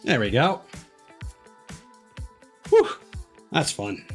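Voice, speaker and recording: A man giving casual live gameplay commentary as a streamer, low-key and thinking aloud as he plays. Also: huge pitch swings, extremely slow. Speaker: video game streamer. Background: game music.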